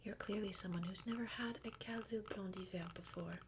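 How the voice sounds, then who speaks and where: A woman voicing a bossy, know-it-all cartoon girl in a breathy, soft-spoken ASMR roleplay, close to the microphone. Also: medium pitch, fairly even intonation, brisk, noisy background, lightly acted. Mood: condescending. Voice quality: breathy whisper.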